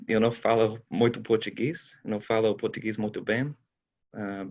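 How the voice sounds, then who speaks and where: A man whose first language is English speaks slowly, with long pauses and a halting, apologetic manner. His voice sits low. The call audio is slightly muffled. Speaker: guest participant. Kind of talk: casual online meeting. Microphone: video call.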